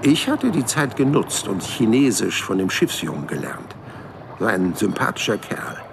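A man's voice: lowish voice, sing-song, background noise. An actor playing a hundred-year-old man who recalls his adventures in a wry, colloquial audio drama narration. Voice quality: gravelly, creaky. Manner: wry, unhurried, colloquial storytelling.

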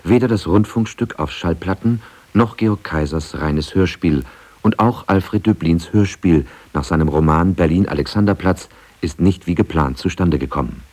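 A man reading scripted radio feature narration in a measured, factual way. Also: sits low, darkish tone. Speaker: documentary narrator.

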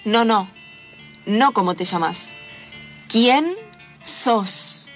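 A woman's voice: mid-range voice, drawn-out words. A woman playing a stern, insistent aunt in an improvised radio play. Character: stern, insistent aunt quizzing her young niece. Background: music.

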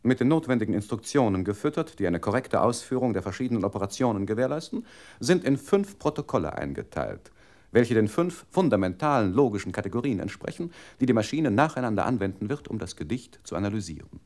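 A man playing an orderly, detached computer memory bank in a radio play, reciting in a measured way. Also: on the low side, big pitch swings, darkish tone. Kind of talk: scripted 1960s radio play.

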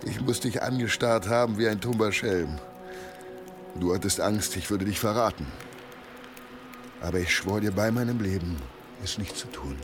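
Man acting a low-voiced, devoted medieval husband. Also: normal speed, pauses often, over music, natural voice. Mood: earnest. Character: devoted medieval warrior husband, wistfully reminiscing. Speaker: actor in an audio drama. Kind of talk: German radio drama acting.

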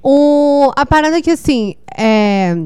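A woman's voice: high-pitched, expressive, a little slow, a little mellow, dry recording, studio quality. A Brazilian woman chatting casually as a panelist in a film discussion, informal and slangy, rambling and often correcting herself. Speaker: podcast panelist.

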